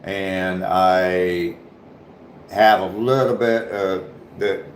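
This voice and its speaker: A deep-voiced man with a light southern Ohio accent talks to his livestream viewers in a loose, easygoing way. He speaks slowly and lets his sentences trail off softly. The echo of the room and a faint hum sit behind him. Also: steady tone. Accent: southern Ohio, slight Appalachian touch. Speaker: livestream host. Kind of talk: casual livestream chat reading comments.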